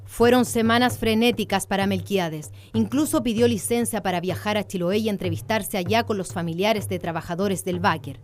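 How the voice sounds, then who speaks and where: A Chilean woman narrates a radio play from a script. Her voice sits at a middle pitch, with music playing softly behind it. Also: normal speed, hardly any pauses.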